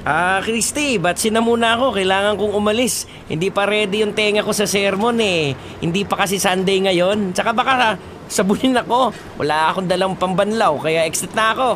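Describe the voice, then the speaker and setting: Cheeky, teasing young boyfriend. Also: male voice, high-pitched, moderate pace, fairly bright tone, slight background noise. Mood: jokey, nervous. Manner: teasing, wheedling, jokey. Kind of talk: Filipino radio drama acting.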